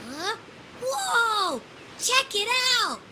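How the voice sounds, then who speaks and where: A tiny excitable game creature, super high squeaky voice, swoops all over, noisy behind. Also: female voice, very slow, exaggerated voice. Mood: excited. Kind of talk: video game voice acting.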